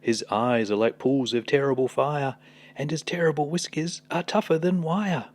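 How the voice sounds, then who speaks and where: A man voicing a big monster father from a storybook, gravely warning his child. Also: on the high side, fairly even intonation, moderate pace, pitched above the performer's own voice, close to an everyday voice. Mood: ominous.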